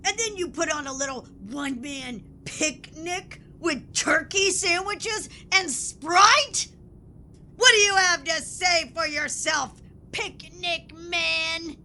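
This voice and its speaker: A woman's voice: extremely high pitch, takes it slowly, pushed and bright, a little hiss or hum. A woman playing a pompous, accusatory interrogator in a theatrical comedy sketch. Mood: incredulous outrage. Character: pompous, melodramatic interrogator grilling a picnicker. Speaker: comedy podcast sketch performer.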